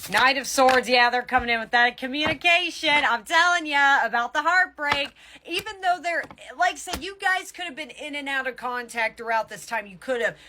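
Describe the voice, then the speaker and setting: A woman's voice: high voice, expressive, background noise. A woman giving a casual tarot reading to viewers, speaking emphatically and often stopping to correct herself mid-thought. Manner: emphatic, halting and self-correcting. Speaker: tarot reader.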